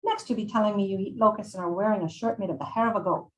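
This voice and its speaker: Old Italian woman shopkeeper, played by a woman reader: higher pitch, Italian accent, bossy, mothering, snarky, from a read-aloud novel. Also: rapid-fire, close to an everyday voice, rough recording. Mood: exasperated.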